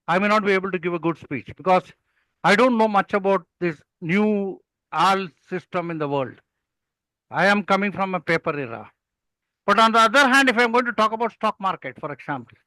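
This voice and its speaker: A man's voice: high voice, pushed and bright, dry recording, low-quality recording. An older man with an Indian accent, speaking as a mentor in an interview and telling anecdotes in an emphatic storytelling way. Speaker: public speaking mentor and guest.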